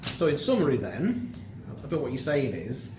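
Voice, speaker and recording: A man's voice: mid-range voice, wildly swooping pitch, normal speed. A middle-aged man chatting casually as co-host of a film review show. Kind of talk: casual chat. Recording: roomy echo, clearly audible background noise.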